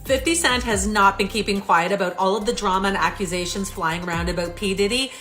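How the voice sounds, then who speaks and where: A woman's voice: mid-range voice, brisk, fairly bright tone, soft background music. A woman giving celebrity news commentary and reading out posts.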